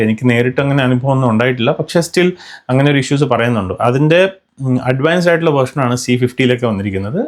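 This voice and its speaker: Fast-talking Malayali man presenting a review. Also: medium pitch. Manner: mixes English phrases into speech. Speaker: vlogger reviewing motorcycle gear. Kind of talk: scripted product review narration.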